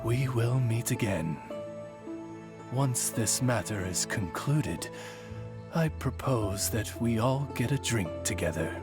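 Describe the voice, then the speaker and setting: A man's voice: mid-range voice, expressive, slow. A man voicing a courtly familiar in a video game, formal and grandiloquent in his phrasing. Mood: courteous. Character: Oz, courtly, grandiloquent male familiar serving a young lady. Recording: music behind the voice.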